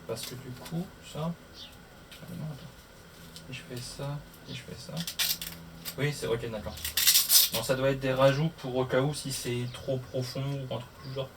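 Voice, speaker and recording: A man's voice: mid-range voice, steady tone, measured pace, long pauses. A man chatting casually to camera as a vlogger doing a hands-on tutorial, often muttering to himself as he works through the steps. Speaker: motorcycle vlogger. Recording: distant mic, clear background noise.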